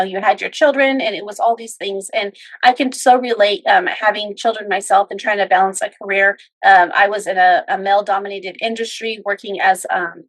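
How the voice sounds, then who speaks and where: A woman's voice: mid-range voice, no room sound, low-quality recording. Middle-aged woman host, dry close sound. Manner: warm, relating with personal stories. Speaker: podcast host. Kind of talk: casual interview talk.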